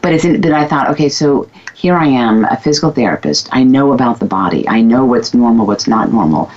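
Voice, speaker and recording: A woman's voice: rumbling low, animated, a little fast. A woman guest, a former physical therapist, talking candidly and emphatically in casual conversation. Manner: candid, emphatic, self-described loudmouth. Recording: dull lo-fi recording.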